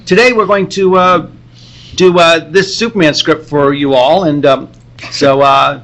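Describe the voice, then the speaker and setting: High-voiced man hosting, halting delivery. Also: fairly even intonation, fairly bright tone. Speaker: podcast host. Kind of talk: casual live introduction. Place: comic convention.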